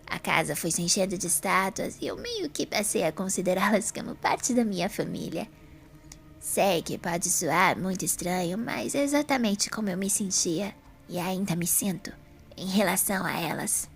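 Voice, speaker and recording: A woman playing a serene, frail old lady in an audio drama, with a hoarse, breathy voice and slow, genteel pauses. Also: on the low side, expressive, faint music behind. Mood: wistful, warm. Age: older.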